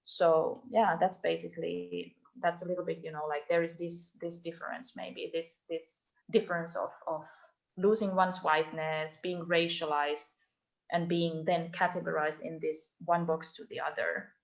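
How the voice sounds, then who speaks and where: A woman's voice: on the low side, level tone, moderate pace, gravelly. A woman giving an online talk about her research, thoughtful and full of ums and restarts, heard over a video call. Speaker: invited speaker, doctoral researcher. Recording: dull lo-fi online call audio.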